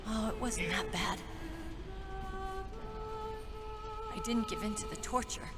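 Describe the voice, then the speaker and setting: A woman's voice: a little high, huge pitch swings, fairly quick. An actress playing a brave, emotional young woman soldier who has been hurt, in a dramatic video game scene. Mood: relieved, defiant. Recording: game audio under loud music, long reverb. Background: loud dramatic music.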